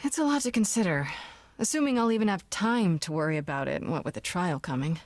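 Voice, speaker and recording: A woman's voice: on the low side, fairly quick, no echo, close to an everyday voice. An actress playing a questioning, uneasy young woman newly made a god in a video game, talking in a plain, casual way. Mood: curious. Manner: inquisitive, plain-spoken, casual.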